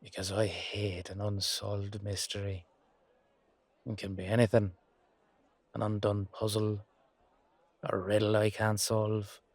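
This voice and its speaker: A man playing a weary, down-to-earth knight guardsman in a fantasy audio drama, musing colloquially to his partner with hesitant pauses. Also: fairly low pitch, monotone, dry recording. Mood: resigned, intrigued. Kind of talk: roleplay audio drama monologue addressed to a partner.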